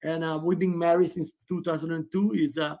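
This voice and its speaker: Talkative man, fairly high voice. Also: flat delivery, a little fast, dark tone. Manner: talks at length with many restarts. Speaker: guest, player's father. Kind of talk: casual interview.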